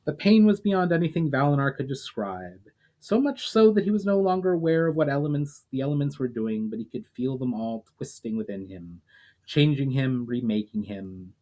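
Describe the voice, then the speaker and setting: A man reading fantasy fiction aloud as a storyteller, in a dramatic audiobook style with measured phrasing that falls away at line ends. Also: mid-range voice, animated, a little mellow. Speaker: author reading own fiction aloud, channel host.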